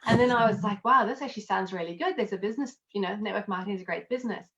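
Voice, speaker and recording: A woman with a South African accent, chatting casually as an interview guest, self-deprecating and quick to laugh. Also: medium pitch, a bit bright, muffled recording. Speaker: guest, accountant and network marketer.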